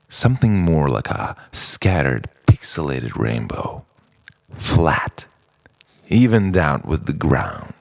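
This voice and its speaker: A man from Europe performing a confessional spoken-word monologue in a theatrical, deliberate way, with pauses and repetition. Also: fairly low pitch, dry recording, clean studio sound. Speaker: writer-performer reciting his own monologue.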